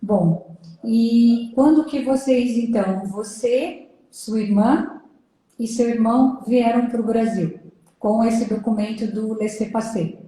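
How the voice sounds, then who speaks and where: A woman's voice: on the high side, slow, warm tone. An older Brazilian woman interviewing over a video call, encouraging, with frequent affirming murmurs. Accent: Brazilian Portuguese. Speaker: interviewer, retired judge and lawyer. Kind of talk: conversational interview. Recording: very echoey room.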